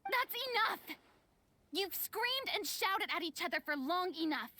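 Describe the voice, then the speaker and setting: A bossy, easily exasperated female stage performer in a game drama. Her voice is high, and her manner is no-nonsense. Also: animated, moderate pace, a bit bright, no echo. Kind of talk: dramatic voice acting.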